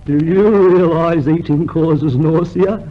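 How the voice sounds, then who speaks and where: A man's voice: a little high, fairly even intonation, slow, lo-fi sound. An actor playing a chirpy hypochondriac who boasts earnestly of his illnesses, in old radio comedy style. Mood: self-pitying, proud. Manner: earnestly boastful about being ill. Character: chirpy hypochondriac male customer who relishes his ailments. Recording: noisy old radio show recording.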